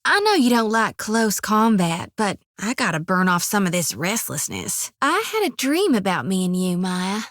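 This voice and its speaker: A woman's voice: mid-range voice, very animated, moderate pace, few pauses, fairly bright tone, no echo, clean studio sound. An actress voicing an earnest, devout young farm-raised woman priest, folksy and stubborn. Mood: affectionate. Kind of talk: video game voice acting. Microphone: dry studio mic.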